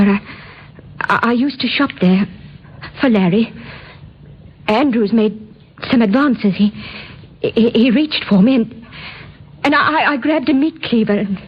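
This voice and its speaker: A nervous, desperate young woman in a vintage radio play. Her voice is raised in pitch yet husky, stumbling earnestly over words. The recording is old and dull. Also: a little slow, pauses often. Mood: agitated confession. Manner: stammering, breathless hesitations, earnest. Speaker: actor in radio drama. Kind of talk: old time radio acting.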